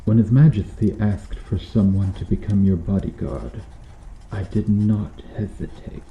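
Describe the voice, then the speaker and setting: A man voicing a cruel, bitter medieval knight in a slow-burn ASMR roleplay, speaking with brooding, deliberate menace. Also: lowish voice, roomy sound, lo-fi sound, a little hiss or hum. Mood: menacing, accusing. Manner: brooding, menacing, deliberate delivery with dropping hushed phrases.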